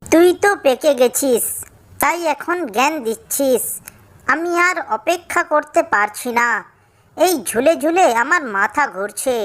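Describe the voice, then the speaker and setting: Woman voicing high-pitched impatient young green mango. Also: expressive, unhurried, fairly bright tone. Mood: irritated. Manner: complaining, lamenting. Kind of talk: children's moral cartoon dialogue.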